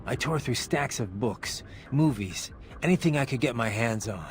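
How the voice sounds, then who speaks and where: A man's voice: medium pitch, animated, moderate pace, faint music behind, lightly acted. A man playing a battle-hardened cyborg swordsman in a video game, earnest and philosophical. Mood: reflective, conversational.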